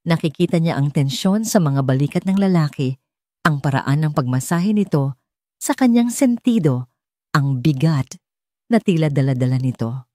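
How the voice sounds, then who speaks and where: Mellow, even-toned woman narrating a romance. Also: low-pitched, normal speed, no room sound, studio quality. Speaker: audiobook narrator. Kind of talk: scripted romance story narration.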